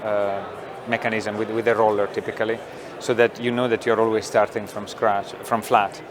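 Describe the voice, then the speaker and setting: A middle-aged man, a company founder explaining technology in an interview, thoughtful with many pauses and fillers. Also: fairly low pitch, flat delivery, crowd noise. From Italy. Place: trade show booth studio.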